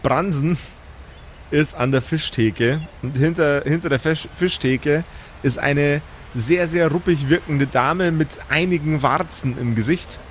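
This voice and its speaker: A man's voice: on the high side, a little slow, slight background noise. A man running a tabletop role-playing game as game master, narrating in a wry, amused way.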